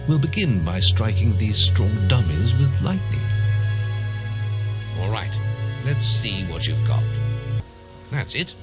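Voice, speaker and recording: Elderly guild master, fantasy game, low pitch, expressive range, measured, solemn. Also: male voice, loud music behind the voice. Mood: instructive. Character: aged, fatherly male guild master and storyteller. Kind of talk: scripted fantasy game dialogue and narration.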